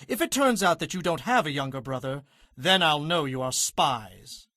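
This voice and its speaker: Stern Egyptian governor, played by a male actor: higher-pitched, forceful, demanding, dry audio Bible drama. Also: animated, normal speed, no echo. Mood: threatening. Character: stern, commanding governor of Egypt, accusing and testing.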